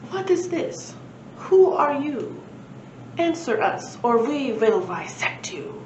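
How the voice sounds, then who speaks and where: Woman putting on a slow, menacing, hungry vampire creature. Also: high-pitched, big pitch swings, darkish tone, far from the mic, pitched above the performer's own voice, exaggerated voice. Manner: slow, drawn-out, menacing delivery. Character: pallid, hungry, sly vampire-like creatures with sharp teeth. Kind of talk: children's storybook read-aloud. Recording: roomy, muffled, faint background noise.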